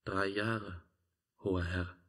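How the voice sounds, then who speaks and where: Husky-voiced, formal court chamberlain. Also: male voice, sits low, fairly even intonation, fairly bright tone, heavily acted. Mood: hushed, reluctant. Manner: formal, measured, solemn. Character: deferential, formal imperial chamberlain of a Byzantine court. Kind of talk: 1950s German radio drama acting.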